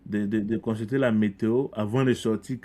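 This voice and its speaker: A middle-aged man from Ivory Coast with a low, mellow voice talks through his experiences as a podcast guest. He speaks earnestly and thoughtfully, often repeating words and restarting phrases as he searches for the next thought. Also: fairly even intonation, fairly quick. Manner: earnest, reflective, frequent repetitions and restarts. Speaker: podcast guest, community leader. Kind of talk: conversational interview.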